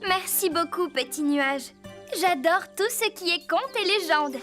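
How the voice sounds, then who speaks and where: Very high-voiced, sincere teenage girl. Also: a little slow, a bit bright, faint music behind. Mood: touched, grateful. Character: modest, warm-hearted teenage girl horse rider. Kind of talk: cartoon dub acting.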